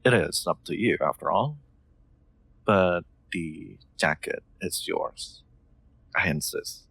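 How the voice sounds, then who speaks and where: A man playing a gentle, awkward lord in an audio roleplay, speaking politely and formally with frequent hesitations. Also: low voice, lively intonation, takes it slowly, vocal fry, slightly rough, exaggerated voice. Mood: kindly insistent. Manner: hesitant, polite, formal wording with stammered ums. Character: gentle, awkward young lord, courteous automaton owner. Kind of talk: scripted audio roleplay, intimate one-sided dialogue.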